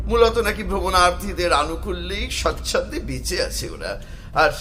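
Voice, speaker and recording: An actor playing a cheerful young male art student who narrates the story in an expressive, literary audio-drama style. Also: high voice, slight background noise, heavily acted. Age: young adult.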